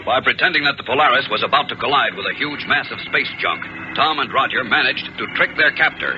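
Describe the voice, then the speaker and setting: A man's voice: medium pitch, animated, fairly quick. A man narrating a 1950s radio serial in an emphatic, theatrical announcer style. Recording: dull 1950s radio recording. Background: loud dramatic music.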